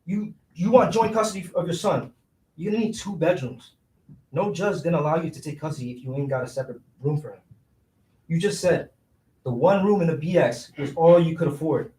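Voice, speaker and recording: A man's voice: a little high, a little fast, warm tone, natural voice. An actor playing a scheming, broke young city man in a staged reading, talking in slangy, persuasive street phrasing. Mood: insistent, persuasive. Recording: roomy echo, distant microphone.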